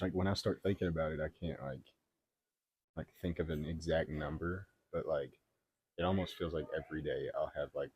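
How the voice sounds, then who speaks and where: A man's voice: very deep voice, darkish tone, breathy edge. A man in his twenties chatting casually in an informal, slangy way, hedging as he goes. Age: 20s. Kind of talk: casual chat.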